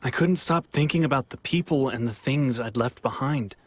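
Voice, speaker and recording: A man's voice: mid-range voice, normal speed, lightly acted. An actor playing an anxious young man in hiding in a video game, hesitant and sighing with regret. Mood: regretful. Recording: game soundtrack music behind.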